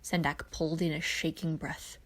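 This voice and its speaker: A woman's voice: low-pitched, little pitch movement, normal speed, fairly bright tone. A woman reading scripted story narration as the narrator of fan fiction. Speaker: podfic narrator.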